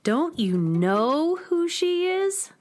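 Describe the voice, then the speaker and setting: Eager student fan in children's radio play: high, unhurried, highly animated, emphatic explanations. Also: female voice, heavily acted. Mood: admiring. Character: eager young music student, knowing adoring fan. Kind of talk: children's radio drama acting.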